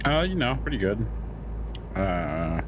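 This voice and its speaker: A man with a very deep voice, chatting casually as a guest player over voice chat. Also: very animated, slow, noisy background. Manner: very deep voice, laid-back.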